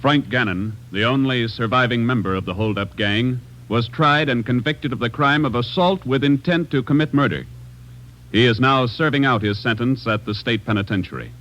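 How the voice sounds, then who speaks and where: A man's voice: medium pitch, animated, normal speed, a bit bright, rough recording. A man giving formal, scripted announcements for a radio program in 1940s style. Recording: band-limited old radio recording with music.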